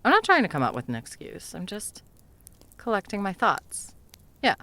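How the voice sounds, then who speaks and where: A woman's voice: mid-range voice, wildly swooping pitch, normal speed, bright, forceful tone, dry recording. A woman playing a bumbling, flustered female Christmas elf in a whimsical comedic audio roleplay, evasive and sarcastic, rambling and backtracking as she goes.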